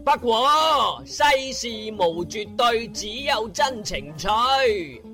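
High, bright Cantonese male storyteller. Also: very animated, soft background music. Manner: theatrical, colloquial, with drawn-out words and rhetorical questions. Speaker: narrator of an internet radio drama. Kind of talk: animated comic storytelling narration.

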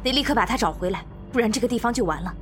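A woman's voice: a little high, lively intonation, very fast, fairly bright tone, soft background music. A woman playing a cool-headed, commanding survivor leader in a zombie audio drama, stern and decisive. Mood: urgent, commanding. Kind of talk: Chinese fan audio drama acting.

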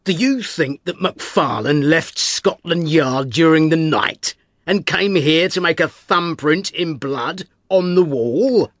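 A man's voice: on the high side, slow, a bit bright, no echo, studio quality, pitched above the performer's own voice. Man playing a smug, brusque police inspector. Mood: cross. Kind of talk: dramatised audiobook storytelling.

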